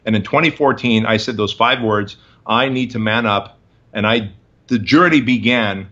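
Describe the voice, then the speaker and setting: Punchy, bright-voiced man in his forties. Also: lowish voice, moderate pace. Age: 40s. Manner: emphatic, punchy motivational delivery. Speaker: guest entrepreneur. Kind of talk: interview storytelling. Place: office with bookshelves, indoors. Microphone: video call webcam. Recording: slightly dull video call audio.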